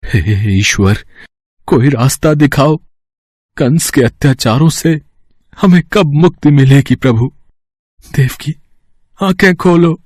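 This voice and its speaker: A man's voice: on the high side, takes it slowly, long pauses, darkish tone, no room sound, clean studio sound. A man playing a noble, devout captive husband and father in a mythological story, earnest and dramatic. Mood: anguished prayer. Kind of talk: Hindi mythological audio story acting.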